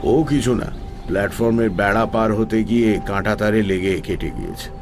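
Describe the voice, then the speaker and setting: A low-voiced, sly old holy man. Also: lively intonation, a little mellow, faint music behind. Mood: evasive, easygoing. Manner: unhurried, chatty, nonchalant. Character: placid, sly elderly village holy man. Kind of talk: Bengali audio story acting.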